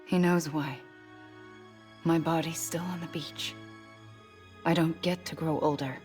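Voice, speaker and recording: An actress playing a gentle, ethereal, ageless woman in a video game, speaking softly and breathily, close to a whisper. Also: low voice, steady tone, unhurried, soft background music. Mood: wistful. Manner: soft, breathy, near whisper. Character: gentle, ethereal, tender woman who never ages.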